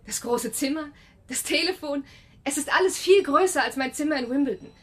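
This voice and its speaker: An actress playing a chatty young understudy actress in a German radio drama, gushing and a bit nervous. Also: female voice, high voice, brisk, fairly bright tone. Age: young adult.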